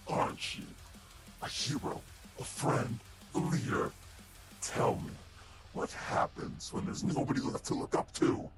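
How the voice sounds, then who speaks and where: A man voicing a taunting monster villain for a game character reel, with a breathy, whispery rasp and a mocking, theatrical delivery. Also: close to an everyday voice. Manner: slow, deliberate, mocking, theatrical. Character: sinister taunting male monster villain, menacing, patient and mocking. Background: prominent music.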